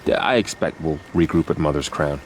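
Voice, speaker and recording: A man's voice: a bass voice, a little fast, slight background noise. An actor playing a shy young male warrior in a video game, hesitant and earnest. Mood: wistful.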